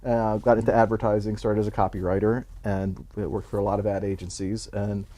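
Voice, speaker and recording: Man, low voice, mellow tone, relaxed, rambling, interview guest. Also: a little fast. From Cuba. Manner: relaxed, rambling, many restarts and fillers. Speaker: Hollywood publicist, guest. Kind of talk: telling his life story in an interview.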